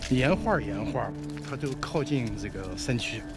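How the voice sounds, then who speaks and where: Male specialist from China, very lively intonation, informal talk, music behind. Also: mid-range voice, normal speed. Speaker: rock art specialist. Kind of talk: casual talk on location.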